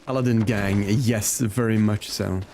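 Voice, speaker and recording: A man's voice: fairly low pitch, fairly even intonation, unhurried. A man live-streaming a game, chatting casually and in a friendly way with his viewers while thinking aloud as he plays. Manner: friendly, talks directly to chat, thinks aloud. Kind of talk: casual chat and gameplay commentary for viewers.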